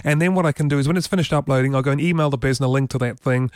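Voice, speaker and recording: A man's voice: medium pitch, very fast, no echo. A man hosting a casual tech show from a studio, explaining features enthusiastically with many fillers. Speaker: podcast host. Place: radio studio.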